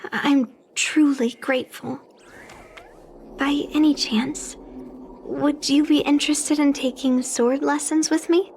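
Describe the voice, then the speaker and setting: A woman's voice: high-pitched, steady tone, slow, darkish tone, a little hiss or hum. An actress voicing an earnest, sincere young swordswoman and granddaughter in a video game, a little hesitant. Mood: tender, grateful. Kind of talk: English video game voice acting.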